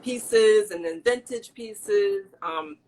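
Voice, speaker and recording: A woman's voice: mid-range voice, slow pace, darkish tone, a little hiss or hum. A middle-aged woman from the American Midwest, chatting casually as an interview guest, laughing often and restarting her sentences.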